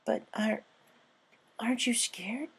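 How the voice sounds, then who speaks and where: A man's voice: high-pitched, takes it slowly, creaky, slightly rough, voice pushed up, exaggerated voice. A man voicing a nervous young pegasus filly, an earnest little fan who stammers and hesitates, in a storybook reading. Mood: nervous, hesitant.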